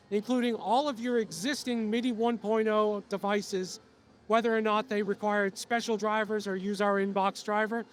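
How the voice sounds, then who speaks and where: A man losing his voice, presenting software features to an audience at a trade show, explaining steadily with frequent filler sounds. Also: high voice. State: losing his voice, coughing now and then.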